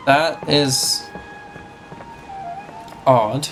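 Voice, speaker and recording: A woman's voice: a bass voice, drawn-out words, over music, poor audio. A woman giving casual commentary as she plays through a video game. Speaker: gaming streamer.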